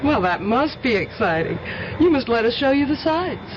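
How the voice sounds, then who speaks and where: An actress playing a poised, friendly, polite airline passenger in a 1970s radio drama. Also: female voice, on the high side, big pitch swings, normal speed. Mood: friendly, charming. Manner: polite, sociable. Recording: muffled, noisy old radio broadcast.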